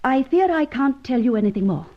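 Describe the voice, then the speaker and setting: A woman's voice: high voice, lively intonation, brisk, muffled recording. An actress playing a cool, haughty, guarded woman in a radio drama, speaking formally and precisely. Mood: cold, guarded. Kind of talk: old-time radio drama acting.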